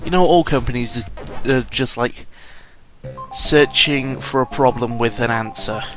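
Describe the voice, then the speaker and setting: A man's voice: mid-range voice, unhurried, fairly bright tone. A British man giving casual, rambling game commentary, digressive and sweary, often correcting himself as he goes. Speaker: gaming vlogger. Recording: music under the voice. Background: music.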